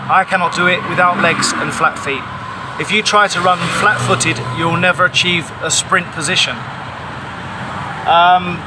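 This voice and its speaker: A man in his forties giving an emphatic, insistent explanation to camera, recorded on a phone outdoors. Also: high voice. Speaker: paraglider pilot vlogging. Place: outdoors under a tarpaulin canopy. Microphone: phone at arm's length. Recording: clear outdoor ambient noise.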